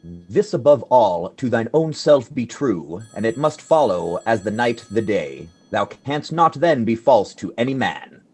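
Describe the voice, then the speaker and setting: Man acting an exasperated bookish expert, husky, animated. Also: medium pitch, a little slow, few pauses. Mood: reciting. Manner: dry, incredulous. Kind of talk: radio play dialogue.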